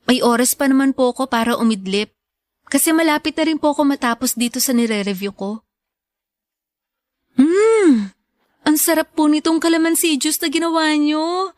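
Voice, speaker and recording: A high, youthful voice for a devoted student daughter , played by a woman. She is respectful and earnest, reassuring her mother in Filipino radio drama style. The sound is dry and close. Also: normal speed, pauses often, a little mellow, no echo, lightly acted.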